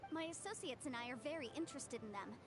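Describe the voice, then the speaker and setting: Polite, high-voiced young legal advisor. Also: female voice, animated, quick pace. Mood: polite, businesslike. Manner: precise, formal wording. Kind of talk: video game character voice acting. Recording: game music behind.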